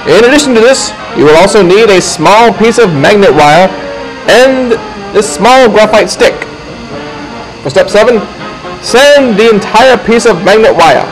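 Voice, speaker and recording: High-voiced male instructional narrator over music. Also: low-quality recording. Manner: deliberate, folksy step-by-step delivery. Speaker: instructor narrating a how-to film.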